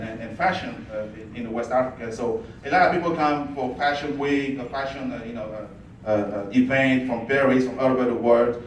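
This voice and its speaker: A man from Ivory Coast answering audience questions after his presentation, halting with many fillers and restarts. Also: mid-range voice. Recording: echoey room, far from the mic, faint noise.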